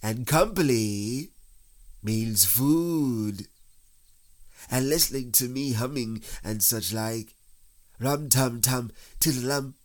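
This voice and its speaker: A man voicing a cheerful, simple-minded storybook bear who speaks in a slow, emphatic singsong and likes to hum and call out proudly. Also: mid-range voice, voice pushed up, natural voice. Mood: pleased, musing. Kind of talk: children's storybook character voice.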